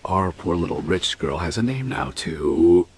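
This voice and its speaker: An actor playing a dry, probing detective inspector in a crime radio play, speaking in a measured, questioning way. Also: male voice, sits low, animated, a little mellow, slight background noise.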